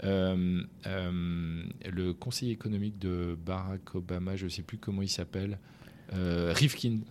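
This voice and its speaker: A man's voice: deep voice, measured pace, slight background noise. A man, a company founder and chief executive, talking frankly and casually as an interview guest, with lots of fillers and restarts. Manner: frank and colloquial, lively, with many fillers and restarts.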